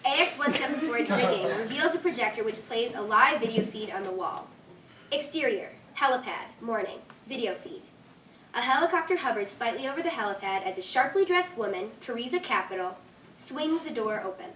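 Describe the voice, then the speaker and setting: A woman's voice: on the high side, expressive, fairly quick, a bit bright. A woman reading stage directions as scripted narration at a staged reading. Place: room with live reading. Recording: echoey room, distant mic, faint noise.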